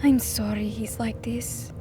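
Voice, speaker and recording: A woman's voice: a little high, steady tone, a little slow, warm tone, soft background music, lightly acted. A woman playing an anxious, protective middle-aged mother with earnest, emotional delivery in an audio drama. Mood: gentle, sad.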